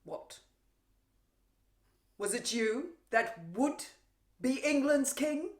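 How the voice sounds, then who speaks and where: A woman performing a Shakespearean stage monologue as a vengeful warrior queen, theatrical and taunting, with drawn-out pauses, gloating over a captive. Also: high voice, animated, a little mellow, exaggerated voice. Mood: commanding, scornful. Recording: roomy, echoing untreated room.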